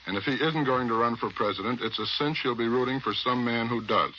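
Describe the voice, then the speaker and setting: A man's voice: medium pitch, a little fast. An American man reporting and interviewing on 1940s radio, reading his script in a wry, emphatic way. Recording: muffled 1940s radio, faint noise.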